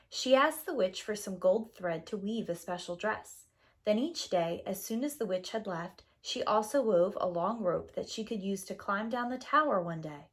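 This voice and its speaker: A woman storyteller reading scripted fairy-tale narration to young children with expressive, dramatic phrasing. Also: medium pitch. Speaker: storyteller, preschool teacher.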